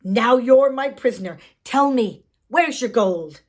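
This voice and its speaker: A woman's voice: on the high side, expressive, a little slow. A woman acts out a cocky young treasure seeker, a storybook lad who is bold, boastful and greedy. His delivery swoops up and down and takes its time. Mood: fierce, demanding.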